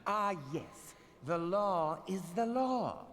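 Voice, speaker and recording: A wealthy, scheming merchant lord for a video game. His high voice swoops theatrically through haughty, mocking oratory. It rings in a hall with long reverb. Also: expressive, takes it slowly, fairly bright tone, full character voice. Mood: sarcastic, taunting. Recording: reverberant hall, light background noise.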